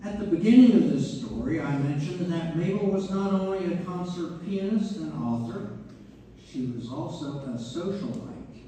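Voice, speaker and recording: A woman's voice: lowish voice, animated, moderate pace, warm tone, close to an everyday voice. A woman narrating a stage play in scripted, presentational style. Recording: distant mic in echoing hall, faint noise.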